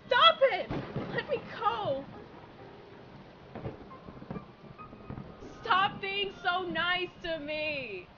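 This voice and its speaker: A woman's voice: extremely high pitch, animated, slow, dull audio, music in the background, full character voice. A woman voicing an insecure, jealous, hot-tempered nymph in an emotional webcomic dub. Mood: upset. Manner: emotional, swinging from brooding to outbursts. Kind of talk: dramatic webcomic dub acting.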